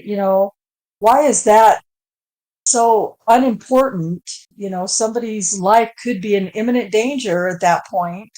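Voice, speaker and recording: A woman speaks as a guest on a casual online panel, hesitant and searching for her words. She restarts her sentences often. Her voice sits at an ordinary middle pitch. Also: a little mellow, low-quality recording.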